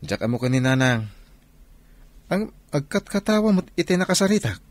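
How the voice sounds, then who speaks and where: A man's voice: a little high, big pitch swings, moderate pace, no echo. A man playing an earnest, protective elder brother in a Philippine radio drama. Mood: matter-of-fact.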